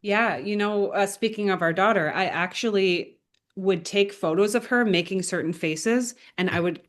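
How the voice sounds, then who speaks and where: A woman speaks as an interviewed filmmaker, with a mid-pitched voice. Her delivery is casual and earnest, like someone explaining her creative work in conversation. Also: normal speed. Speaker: animator and filmmaker being interviewed.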